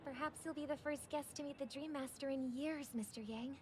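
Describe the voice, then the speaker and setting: A woman playing a gentle, earnest, idealistic young lady in a video game, polite and soft-spoken. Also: sits high, fairly quick, noisy background, natural voice. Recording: loud music over the voice.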